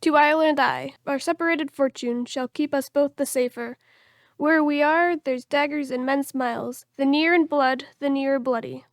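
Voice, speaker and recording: Lady reading nervous young prince, really high voice, suspicious, shakespeare, dry sound. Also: moderate pace, no echo. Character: anxious young prince Donalbain, fearful and suspicious. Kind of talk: amateur dramatic reading of Shakespeare.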